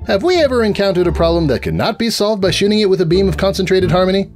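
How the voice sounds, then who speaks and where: A man acts out a young female pony scholar back from the dead, in a storybook comedy. The voice is high and extremely animated, brisk, overconfident and know-it-all, with faint music behind it. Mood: confident, urgent. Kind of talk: dramatic fan fiction reading.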